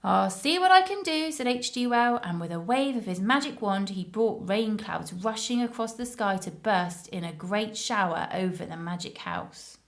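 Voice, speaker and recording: A woman's voice: medium pitch, moderate pace, hardly any pauses. A woman reading a story and chatting to young viewers in simple, child-directed phrases. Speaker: playgroup story reader and presenter.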